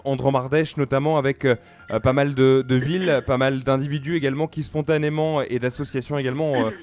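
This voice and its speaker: A man's voice: fairly high pitch, darkish tone. A man hosting a radio interview, asking questions with many filler sounds and hesitations. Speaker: radio host.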